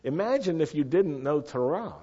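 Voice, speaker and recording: A man's voice: fairly high pitch, expressive, moderate pace, warm tone, husky. Guy preaching to a room full of people, asks them stuff, kinda muffled lo-fi sound. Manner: teacherly, asks the audience questions. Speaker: congregation teacher. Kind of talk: Torah-portion sermon. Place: meeting room with a live congregation. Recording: muffled lo-fi recording.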